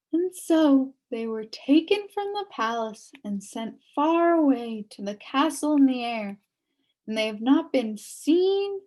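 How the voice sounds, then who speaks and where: A woman reading a children's story aloud as an expressive narrator. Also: high voice. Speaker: storybook reader.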